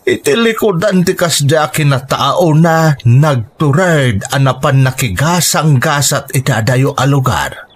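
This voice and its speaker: A man's voice: a little high, expressive, measured pace, breathy edge. An actor playing a worried, doting middle-aged father in a radio drama, with a Filipino accent. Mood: concerned. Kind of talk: Ilocano radio drama acting.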